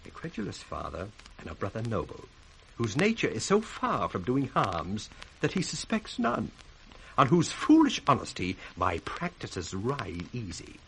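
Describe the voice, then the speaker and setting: An actor with a British accent playing a sly, ambitious bastard son in a theatrical, sardonic 1960s radio Shakespeare style. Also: male voice, mid-range voice, wildly swooping pitch, measured pace, a bit bright, exaggerated voice, poor audio. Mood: coolly scheming. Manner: theatrical, sardonic, crisply declaimed. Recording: faintly hissy 1960s radio recording.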